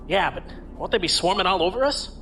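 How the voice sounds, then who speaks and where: A man's voice: high-pitched, expressive, fast talker, noisy background. An actor playing a loyal young starship crewman with a casual, reassuring manner in an audio drama. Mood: alert.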